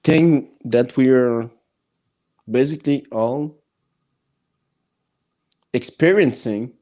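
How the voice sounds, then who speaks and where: A man who speaks English as a non-native language, hosting a casual podcast chat and talking haltingly with many restarts. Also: mid-range voice, warm tone. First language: neither English nor French.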